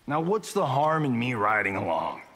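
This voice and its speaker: An actor with an American accent playing a cocky, flippant Hollywood star fighter in a video game, slightly husky. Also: male voice, mid-range voice, big pitch swings, moderate pace, fairly bright tone. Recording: long echoing reverb.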